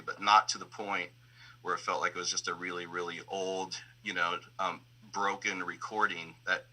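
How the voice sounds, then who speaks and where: A man with a low voice and a very bright, forceful edge talks through his craft as a sound designer. He is reflective and searches for his words as he explains his process in an interview. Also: poor audio. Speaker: sound designer and audio engineer.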